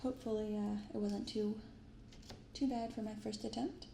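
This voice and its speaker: A soft-spoken woman hosting an ASMR vlog in a hushed, gentle, unhurried manner on a binaural microphone. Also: mid-range voice, steady tone, gravelly. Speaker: host of a card-opening ASMR channel. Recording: roomy sound with faint background noise. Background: light rain, faint ambient noise.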